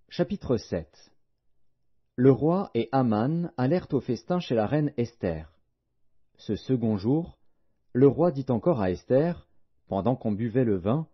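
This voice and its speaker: A man's voice: mid-range voice, lively intonation, slow, pauses often. A man narrating a Bible audiobook in a solemn, scripted reading style. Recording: dull, lo-fi audio.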